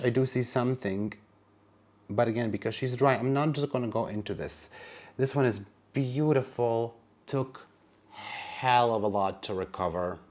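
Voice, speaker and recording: A man's voice: mid-range voice, a little mellow. A man whose first language is Serbian, narrating a casual plant vlog in a chatty, wry manner with sassy asides. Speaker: plant vlogger.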